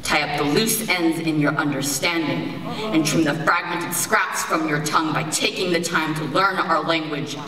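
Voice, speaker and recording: A teenage girl from the United States performing spoken word poetry on stage, with an impassioned, rhythmic delivery and pointed pauses. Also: low voice, fairly even intonation. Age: teens. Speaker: slam poet. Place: large performance hall, on stage. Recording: echoey hall from far off, crowd noise. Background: live audience.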